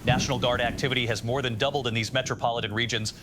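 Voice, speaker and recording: A man's voice: mid-range voice, steady tone, rapid-fire, background noise, close to an everyday voice. A man playing a composed, grave news anchor delivering formal emergency coverage in an audio drama. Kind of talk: formal breaking-news broadcast.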